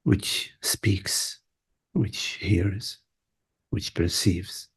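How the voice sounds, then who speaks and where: A man leading a guided meditation as a teacher, speaking in short contemplative phrases with long pauses. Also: deep voice, fairly even intonation, breathy edge. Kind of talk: guided meditation, spoken softly to listeners.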